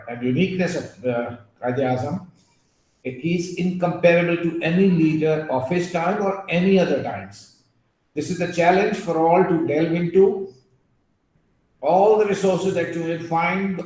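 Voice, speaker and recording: A man giving an earnest, emphatic webinar talk, drifting into asides and filling pauses with "uh". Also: a little high, a little mellow. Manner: emphatic, digressive, with frequent fillers. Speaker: guest speaker on a webinar. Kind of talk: earnest lecture with personal asides. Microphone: video call. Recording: very echoey room over a call.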